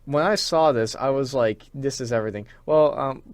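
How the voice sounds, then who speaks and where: A man's voice: mid-range voice, normal speed, no echo. Young adult man, casual podcast co-host, enthusiastic rambling fan talk, close dry sound. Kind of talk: casual chat.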